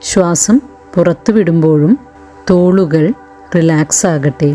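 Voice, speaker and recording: A woman guiding a meditation in a gentle, soothing way, in short phrases with long pauses. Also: sits low. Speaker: meditation guide. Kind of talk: guided meditation narration. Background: background music.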